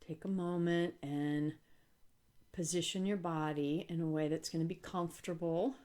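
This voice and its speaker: A woman leading a guided relaxation as a yoga instructor, speaking calmly and gently with deliberate pauses. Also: on the low side.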